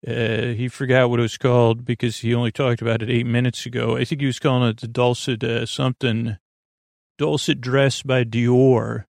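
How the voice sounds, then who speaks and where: A man's voice: mid-range voice, level tone, normal speed, vocal fry, a little mellow, no room sound, studio quality. A man hosting a sleep podcast, rambling through a meandering monologue full of restarts and tangents. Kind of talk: rambling late-night monologue.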